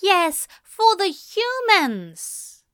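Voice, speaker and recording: Lady doing a fussy male angel from a story, high n slow, prissy, snippy, gets flustered easy. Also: very animated, a bit bright, no room sound, studio quality, heavily acted. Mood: flustered, aghast. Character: prim, flustered, easily scandalised male angel.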